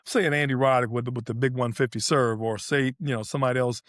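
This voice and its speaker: A man's voice: medium pitch, fairly even intonation, quick pace, fairly bright tone, no echo, studio quality. A man co-hosting a sports podcast, breaking down play in casual talk and repeating phrases for emphasis. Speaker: podcast co-host analysing tennis.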